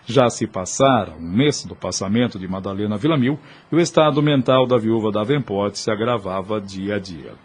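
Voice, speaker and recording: Husky male audio drama narrator. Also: on the low side, lively intonation, normal speed, hardly any pauses.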